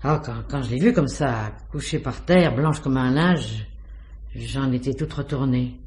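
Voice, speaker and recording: A woman's voice: a bass voice, measured pace, darkish tone. A woman playing a flustered, garrulous building concierge in a radio crime drama, full of exclamations and hesitations. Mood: chatty, concerned.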